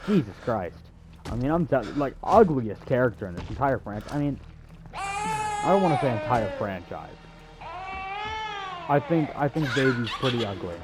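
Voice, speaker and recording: A man's voice: mid-range voice, huge pitch swings, moderate pace, long pauses. A young man giving casual, sarcastic video game walkthrough commentary with crude jokes. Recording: game music under the voice. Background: game music and sounds.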